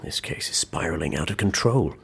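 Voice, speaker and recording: A doubting investigator in an audio drama. The voice is low and heavily husky, rising and dipping as he gets wry and exasperated. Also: expressive, a little fast, a bit bright, whispered, exaggerated voice. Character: skeptical, exasperated male investigating agent. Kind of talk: naturalistic audio drama acting.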